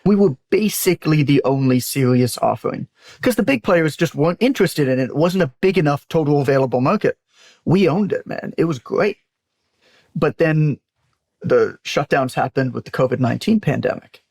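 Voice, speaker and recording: A man's voice: on the high side, lively intonation, normal speed, creaky, a little mellow, no echo, studio quality. A man speaking candidly and emphatically as an interview guest, telling stories conversationally and swearing freely. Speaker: guest, business owner and author.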